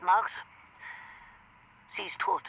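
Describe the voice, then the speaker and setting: An actress playing a determined, grim British intelligence officer in a radio drama, terse and insistent, on a telephone line. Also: female voice, extremely slow. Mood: grave, terse. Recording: muffled telephone line with faint noise.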